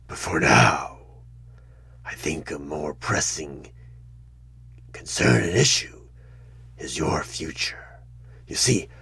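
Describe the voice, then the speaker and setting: A man playing a sinister demonic tempter who poses as a job interviewer in a horror ASMR roleplay, coaxing with halting, menacing calm in a gravelly, breathy half-whisper. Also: very deep voice, exaggerated voice. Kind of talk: horror ASMR one-sided audio roleplay.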